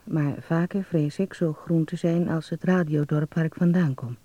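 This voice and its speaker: An actor in a radio drama playing an earnest, naive young Dutch man, musing softly as he reads out his letter home. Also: a little high, steady tone, moderate pace, no room sound, natural voice. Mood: confiding. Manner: confiding, musing. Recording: dull, muffled close recording.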